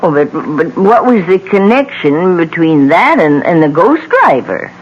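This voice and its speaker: An actress playing a weary, haunted older widow in a 1970s radio drama, speaking haltingly and repeating words. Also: female voice, on the low side, big pitch swings. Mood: puzzled. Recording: muffled, faintly noisy old radio broadcast.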